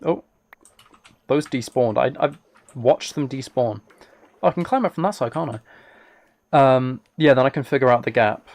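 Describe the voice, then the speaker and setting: A man with a mid-pitched voice and plenty of fry chats casually as he streams a game. He thinks aloud in hesitant, polite fragments, trailing from one small thought to the next. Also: moderate pace, pauses often, a little mellow. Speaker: live streamer. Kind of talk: casual livestream chat while playing a video game.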